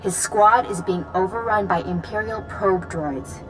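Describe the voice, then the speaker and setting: An actress playing a grave, resolute Jedi commander in a video game, giving briefings in a serious, measured, authoritative manner. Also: female voice, mid-range voice, faint music behind, lightly acted, rough recording. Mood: urgent. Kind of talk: video game cutscene acting.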